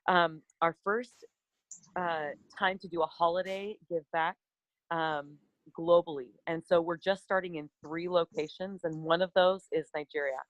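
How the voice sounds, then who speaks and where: A woman's voice: lowish voice, fairly even intonation, measured pace, no echo, rough recording. A woman who directs a charity foundation, presenting on a conference call in an earnest way, with many fillers and restarts.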